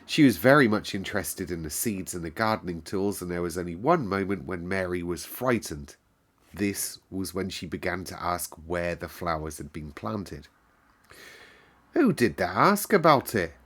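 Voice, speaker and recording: A man reading a classic novel aloud in calm, measured narration. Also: low-pitched. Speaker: storyteller.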